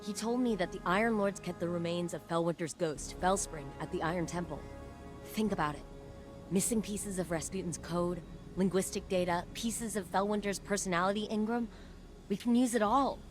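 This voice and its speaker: A woman's voice: on the low side, close to an everyday voice. A woman playing an earnest, driven scientist ally in a video game, explaining things in a conversational, urgent way.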